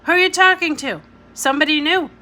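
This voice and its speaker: A woman's voice: high voice, fast, bright, forceful tone, voice pushed up. A woman voicing a cross, commanding old retired army colonel in a children's storybook reading. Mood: cross, barking. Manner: crotchety, commanding, insists on proper manners. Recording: noisy home recording.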